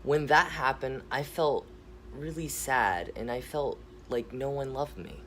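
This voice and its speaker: A lonely female talking rock from a children's story speaks slowly and wistfully, her voice soft and sad as a man puts her on. A faint hiss from the homemade recording sits under her. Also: mid-range voice, natural voice. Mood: mournful. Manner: slow, wistful, mournful.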